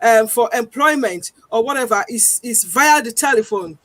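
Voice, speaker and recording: A woman with a Nigerian accent, speaking earnestly as a guest on a panel discussion, pausing often with ums. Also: fairly high pitch, a bit bright, dry recording. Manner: earnest, deliberate, frequent pauses with um.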